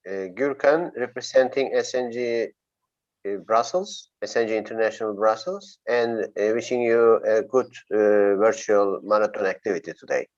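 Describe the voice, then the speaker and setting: A man's voice: on the low side, moderate pace, a little mellow. A man representing an association, giving a brief hesitant greeting on a video call. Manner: hesitant, with filler sounds. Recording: muffled lo-fi video call audio.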